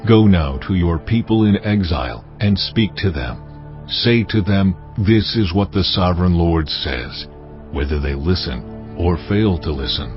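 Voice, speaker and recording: Stern, commanding God for scripture drama: deep and solemn, slightly muffled recording with music behind. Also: male voice, lively intonation. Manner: solemn, weighty, deliberate delivery.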